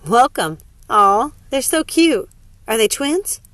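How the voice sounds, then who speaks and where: Gushing, high-pitched small-town hostess, acted by a woman. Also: big pitch swings, slow, a bit bright, no echo, full character voice.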